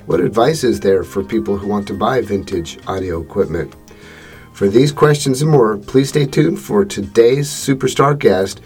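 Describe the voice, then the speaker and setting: A man hosting a podcast, reading a measured scripted introduction and then asking his guest questions. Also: medium pitch, a little mellow, husky. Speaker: podcast host.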